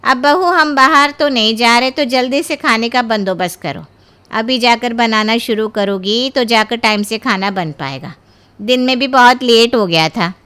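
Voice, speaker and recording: A woman voicing a demanding, bossy mother-in-law in a cartoon story, scolding briskly with exaggerated indignation. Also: a little high, a bit bright, smooth, voice pushed up, natural voice.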